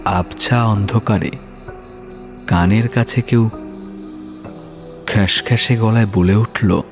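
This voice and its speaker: A man narrates a horror story in a low voice, very slowly and with measured drama. His delivery is suspenseful and scripted, with music clearly playing behind him. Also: fairly even intonation.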